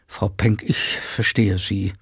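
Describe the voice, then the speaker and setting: A man's voice: lowish voice, little pitch movement, slow pace, a bit bright, breathy edge, no echo, studio quality, exaggerated voice. A man reading aloud, voicing a hurt but dignified woman speaking with restraint. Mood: restrained. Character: composed, hurt mother, dignified.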